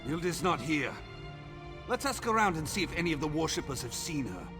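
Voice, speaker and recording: An actor playing a blunt, earnest, protective man in a fantasy game, forceful in manner. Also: on the high side, very animated, fairly quick, music in the background. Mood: firm. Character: earnest, protective, blunt male werewolf ally. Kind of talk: game dialogue acting.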